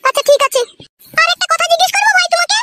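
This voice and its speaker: A man's voice: very high-pitched, racing, bright, forceful tone, heavily acted. A man voicing a hospitable, proud village housewife in a comic cartoon, chatty and inquisitive. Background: music.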